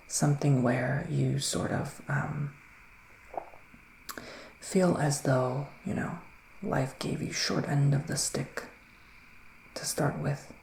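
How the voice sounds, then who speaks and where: Soft whispery asmr guy doing a mystical witch, slow n musing, gravelly fry, roomy sound. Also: a little high, a little hiss or hum. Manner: slow, hesitant, musing, with murmured hums. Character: gentle, mystical male witch giving readings. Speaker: ASMR roleplayer as a psychic witch. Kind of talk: soft-spoken ASMR roleplay, partly whispered.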